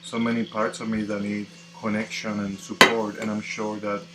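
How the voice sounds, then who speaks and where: A man from the Dominican Republic with a Dominican Spanish accent, a native Spanish speaker, hosting a live tarot reading in a slow, reflective, casual way. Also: on the low side, monotone. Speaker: tarot reader. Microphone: computer mic on a live stream. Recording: echoey room with faint noise.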